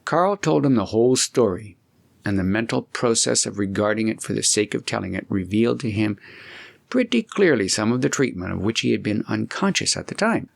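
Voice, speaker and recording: Gravelly low-voiced male storybook narrator. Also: animated, a little fast, no echo. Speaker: audiobook narrator.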